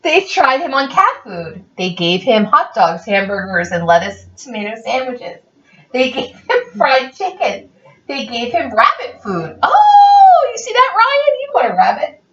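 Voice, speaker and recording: A woman reading a story aloud informally, with chatty asides and an animated, giggly manner. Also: high-pitched, a little slow, lo-fi sound. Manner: animated, giggly, easily cracked up.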